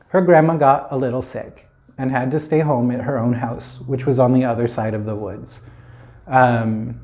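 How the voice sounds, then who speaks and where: A man's voice: a little high, warm tone. A man telling a children's fairy tale informally, as a storyteller, with playful, chatty asides.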